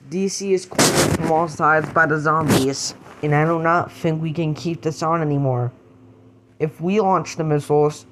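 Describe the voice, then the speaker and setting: A man playing a desperate American official, pleading and halting, in an amateur radio-style drama. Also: a little high, slight background noise, close to an everyday voice.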